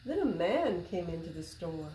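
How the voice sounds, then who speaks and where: A woman in her sixties reading a picture book aloud to children, expressive and deliberate, as a library storyteller. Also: lowish voice. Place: small public library room, indoors. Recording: roomy echo, faint background noise.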